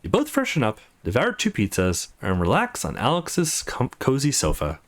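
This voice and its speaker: Guy doing a visual novel lets play, reads it out with really swoopy lively pitch. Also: normal speed. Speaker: let's play channel host. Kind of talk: reading a visual novel aloud.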